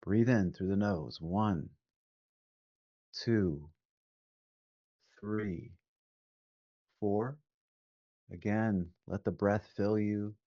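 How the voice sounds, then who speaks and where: A man leading a guided meditation as a teacher, calm and measured, giving gentle instructions with long pauses. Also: lowish voice. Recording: phone-like narrow band, roomy echo.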